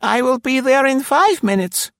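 A male narrator voicing a plump, flustered middle-aged woman in a spoken story, in a high falsetto. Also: animated, moderate pace, fairly bright tone, no echo, clean studio sound, exaggerated voice. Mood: eager. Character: plump middle-aged female Polish landlady-type, flustered and eager.

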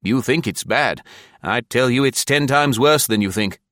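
A man's voice: medium pitch, moderate pace, fairly bright tone, dry recording, clean studio sound, pitched above the performer's own voice, close to an everyday voice. A man voicing a cool, wry British colonial commissioner in an audiobook. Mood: frank, urgent.

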